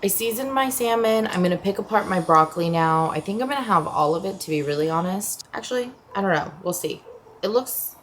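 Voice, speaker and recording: A woman vlogger with an American accent, chatting casually in her home kitchen in a sleepy, relaxed voice. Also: mid-range voice, brisk, a little hiss or hum. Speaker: lifestyle vlogger. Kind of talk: casual vlog chat.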